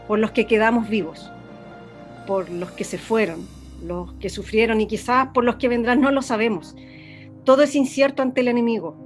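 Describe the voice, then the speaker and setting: A woman with a Chilean accent playing a radio station director and mother, speaking solemnly and pleadingly to listeners, sometimes halting to correct herself. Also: on the high side, lightly acted. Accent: Chilean Spanish. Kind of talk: radio drama acting.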